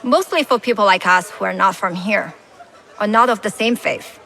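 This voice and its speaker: Game merchant: foreign woman trader, forceful bright tone, worried, earnest, faint crowd behind. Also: medium pitch, normal speed. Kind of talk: video game cutscene acting.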